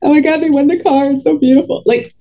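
A woman's voice: sits high, expressive, fast, mellow. A woman in her fifties talking candidly in an interview, outspoken and emphatic. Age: 50s. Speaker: podcast guest, fitness coach.

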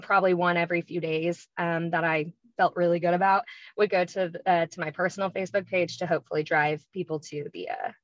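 A woman's voice: fairly low pitch, flat delivery, fairly quick, no room sound. Woman podcast guest, casual and talkative, plenty of fillers; dry, slightly muffled lo-fi audio. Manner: chatty, frequent ums, explains step by step. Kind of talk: casual interview talk.

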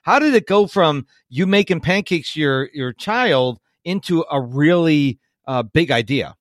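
A man's voice: a little high, expressive, unhurried, no room sound, clean studio sound. A man in his fifties hosting an interview, chatty and prone to long rambling questions. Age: 50s. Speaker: podcast host. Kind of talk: casual interview.

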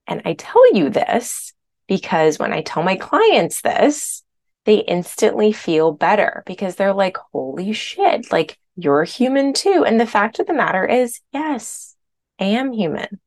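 A woman hosting her own podcast as a weight loss coach, a little hoarse from a sore throat, talking in a chatty, candid way. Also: mid-range voice, very animated, measured pace, a little mellow. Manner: chatty, candid, uses filler words. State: hoarse, recovering from strep throat. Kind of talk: casual podcast monologue.

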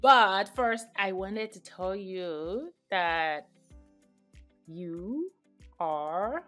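A woman's voice: mid-range voice, lively intonation, extremely slow, fairly bright tone, soft background music. A woman hosting an interview podcast, upbeat and enthusiastic, with emphatic swells as she greets her guest. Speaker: podcast host.

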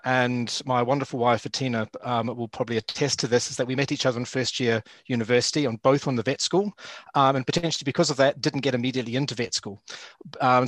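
A man with a New Zealand accent, a zoo vet talking in a relaxed interview, with many ums and uhs. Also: mid-range voice, no echo. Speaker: guest, zoo veterinarian and lecturer. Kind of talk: casual interview talk.

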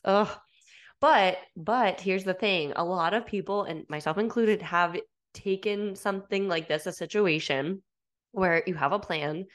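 A woman in her thirties hosting a casual solo podcast, stuffed up from a cold, chatty and upbeat with lots of fillers. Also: fairly low pitch. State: congested from a cold. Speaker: podcast host.

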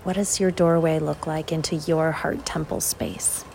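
A woman leading a guided visualization in an unhurried, measured way, leaving long pauses between short phrases. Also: deep voice, steady tone, creaky, mellow, a little hiss or hum. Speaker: guided meditation host.

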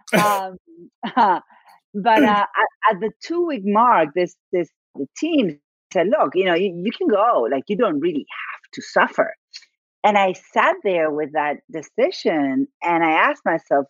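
A woman's voice: medium pitch, very animated, measured pace, breathy edge, no room sound, highs cut off. A woman in her forties from Argentina, a native Spanish speaker with a thick Argentine accent, telling her story as an interview guest with frequent laughs and restarts. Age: 40s. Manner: reflective, laughs often, many restarts.

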